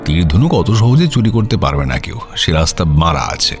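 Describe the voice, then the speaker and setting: An actor playing a courteous, uneasy landed gentleman in a radio drama, speaking in a measured, slightly hesitant way. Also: deep voice, big pitch swings, soft background music. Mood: courteous, assured. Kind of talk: Bengali radio audio drama acting.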